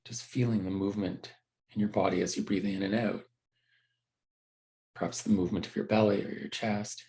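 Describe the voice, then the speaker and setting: Man gently guiding a meditation. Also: fairly low pitch, little pitch movement. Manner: gentle, unhurried, halting with pauses and self-corrections. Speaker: meditation teacher. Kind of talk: guided meditation instruction, conversational.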